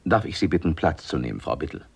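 A dignified male bureaucrat in a radio play. His voice is deep, creaky and husky. He speaks formally and with measured condescension, weighing out his phrases. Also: very animated, heavily acted. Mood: solemn. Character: dignified, condescending male welfare office official. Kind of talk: radio drama acting.

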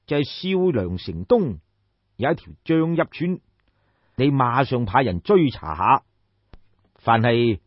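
A man's voice: mid-range voice, slightly rough, no echo, lo-fi sound. A man voicing a shrewd, commanding ancient king in an audiobook, lecturing in a pompous, rhetorical way. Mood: grave, commanding. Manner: pompous, rhetorical, sighing and explaining at length. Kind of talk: dramatised audiobook storytelling.

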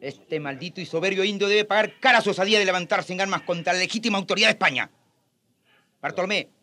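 An actor in a radio drama playing a haughty, cruel Spanish captain, imperious and threatening. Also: male voice, sits high, fast talker, bright, forceful tone. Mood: commanding. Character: haughty cruel male Spanish colonial captain, menacing and commanding.

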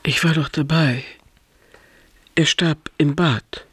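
Hushed, grieving woman. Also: low-pitched, fairly even intonation, husky, no echo, heavily acted. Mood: subdued grief. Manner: hushed, halting, fading into whispers. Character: grieving, subdued woman in a crime drama. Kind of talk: German radio play acting.

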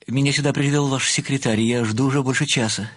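Guy playing small frail old fanboy in an audio drama, rough husky voice, super polite but pushy, dry close sound. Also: medium pitch, fairly even intonation, fast talker, no echo, close to an everyday voice. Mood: deferential, eager. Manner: formal, deferential, politely insistent. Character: small, frail old man, obsequious, persistent admirer. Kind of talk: audio play acting.

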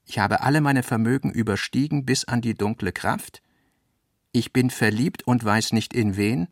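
A man reading literary narration for a radio play with measured, deliberate phrasing. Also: medium pitch, dry recording, studio quality.

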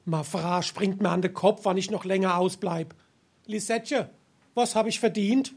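A man playing a grumbling, henpecked family father in a Darmstadt Hessian dialect tavern comedy, exasperated and husky. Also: high voice, moderate pace, darkish tone. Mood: annoyed. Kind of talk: dialect stage comedy acting.

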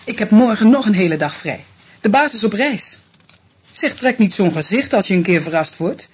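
A woman playing a sharp teasing wife. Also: medium pitch, animated, normal speed, slightly rough. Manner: teasing, scolding. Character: sharp-tongued thrifty young wife. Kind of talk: radio play acting.

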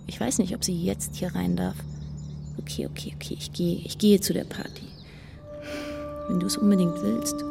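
Lonely teenage girl, creaky voice. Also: mid-range voice. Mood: hesitant, nervous. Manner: introspective, hesitant. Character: lonely, shy, grieving teenage schoolgirl. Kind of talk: radio play inner monologue. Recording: music under the voice.

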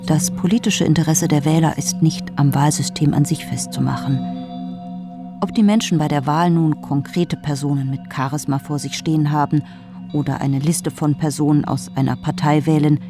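A woman delivers scripted narration, measured and matter-of-fact. Her voice has a gravelly creak, and music with a ringing tone plays clearly behind her. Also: sits low, fairly even intonation.

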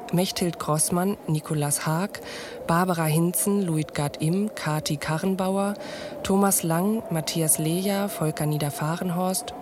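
A woman announcing the cast and credits of a radio play in a scripted style. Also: deep voice. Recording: clearly noisy radio broadcast ambience.

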